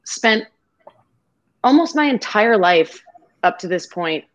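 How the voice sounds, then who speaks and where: A woman's voice: medium pitch, expressive, slow pace, lo-fi sound. A woman guest on a video-call interview, talking in an effusive, grateful and rambling way. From half Greek, half Irish family. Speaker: podcast guest.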